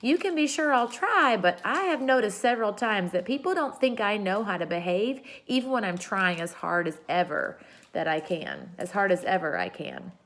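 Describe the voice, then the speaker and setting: A woman voicing a bold, unruly, excitable young girl in a storybook, with an emphatic, boastful way of talking. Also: medium pitch, normal speed. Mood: excited, nervous.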